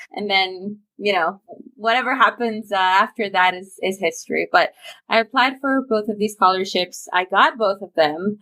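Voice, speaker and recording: A woman's voice: medium pitch, a bit bright. Eager chatty young woman from Romania. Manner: eager, chatty, laughs easily. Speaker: guest. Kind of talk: casual interview chat.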